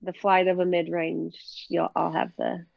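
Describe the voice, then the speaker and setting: A woman's voice: on the low side, little pitch movement, unhurried, gravelly, no room sound, muffled recording. A woman from Minnesota with a Minnesota accent, chatting casually in an interview with many fillers and easy laughs. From Minnesota, USA. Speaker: professional disc golfer, guest.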